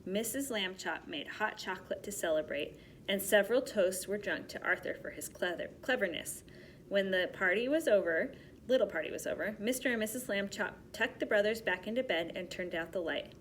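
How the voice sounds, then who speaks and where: A woman teacher reading a storybook aloud to young children and giving simple directions in an expressive way. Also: mid-range voice, a little fast, a little hiss or hum, rough recording.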